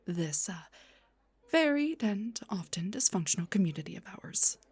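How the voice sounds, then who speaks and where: A woman playing a gentle, refined vampire doctor in a soft-spoken roleplay, breathy and whispery, with a polite, slightly hesitant manner. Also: mid-range voice, sing-song, normal speed. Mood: apologetic, reassuring.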